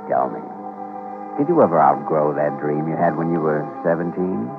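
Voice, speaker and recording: An actor playing an earnest, playful young doctor who is tenderly smitten, in an old-time radio drama. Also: male voice, extremely low pitch, moderate pace, gravelly. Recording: muffled vintage radio broadcast, music behind.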